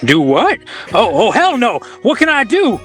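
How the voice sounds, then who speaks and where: A man's voice: very high voice, huge pitch swings, normal speed, fairly bright tone, soft background music, heavily acted. A man playing a flustered, self-pitying everyman husband in a comic radio play, whiny and exasperated.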